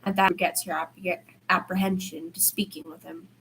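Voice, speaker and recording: A woman's voice: on the low side, flat delivery, normal speed, fairly bright tone, breathy edge, poor audio. A woman reading a story aloud in an informal way, stumbling over words now and then and correcting herself. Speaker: amateur fan fiction podfic reader.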